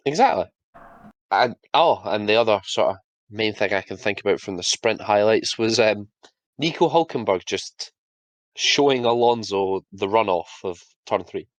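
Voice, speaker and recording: A man co-hosting a casual podcast, chatting and laughing, and putting on quick voices for officials. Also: on the low side, no echo. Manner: laughs often, acts out officials in quick asides. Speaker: podcast co-host. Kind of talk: casual chat.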